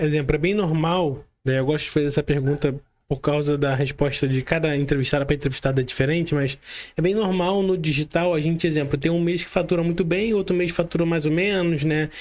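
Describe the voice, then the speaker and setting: A man's voice: fairly high pitch, darkish tone. A Brazilian man interviewing a guest in a casual, conversational style as podcast host.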